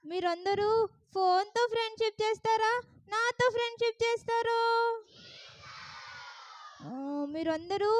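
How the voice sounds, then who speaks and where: A performer voicing a gentle, kind bible puppet in a kids' puppet show, soft and coaxing. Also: very high voice, a little slow, long pauses, dry recording.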